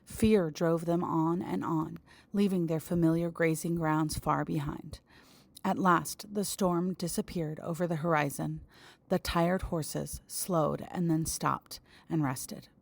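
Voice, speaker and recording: A woman reading a picture book aloud, slow and deliberate. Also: deep voice, fairly even intonation, vocal fry, no room sound.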